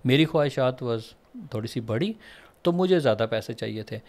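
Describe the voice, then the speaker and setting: Animated man mixing in English. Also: mid-range voice, brisk. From Pakistan. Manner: animated and explanatory, mixing English phrases into Urdu. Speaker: guest entrepreneur. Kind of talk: podcast interview.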